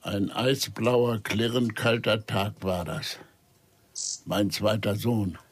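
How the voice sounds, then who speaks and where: An actor playing a confused elderly father in a radio crime drama, brusque and halting, forgetful and husky. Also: on the low side, steady tone. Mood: wistful. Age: older. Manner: halting, forgetful, repeating himself.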